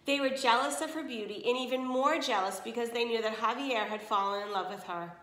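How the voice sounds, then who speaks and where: A woman reading a children's story aloud expressively, pausing to put questions to listeners and explain words. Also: fairly high pitch, a bit bright, reverberant. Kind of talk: expressive read-aloud narration with asides to listeners.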